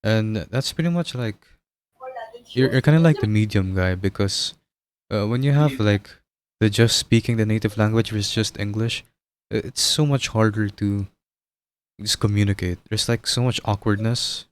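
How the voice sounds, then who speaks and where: A man's voice: on the low side, moderate pace, smooth. A man from the Philippines who hosts a podcast, chatting casually in English with lots of "like" fillers. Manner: relaxed, loose, with many "like" fillers. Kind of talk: casual conversation.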